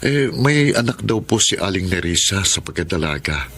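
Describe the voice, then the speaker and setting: An actor playing a soft-hearted, compassionate male attorney in a Tagalog radio drama. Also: deep voice, sing-song, normal speed, heavily acted. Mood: earnest, pitying.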